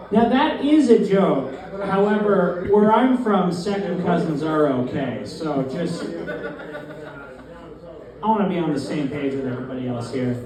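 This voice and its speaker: A young man from Newfoundland doing a first stand-up set in a deadpan, halting way, with many fillers. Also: on the high side. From Newfoundland, Canada. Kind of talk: open-mic stand-up comedy. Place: small comedy club, in front of a live crowd. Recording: very echoey club, distant mic, crowd. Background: audience laughter.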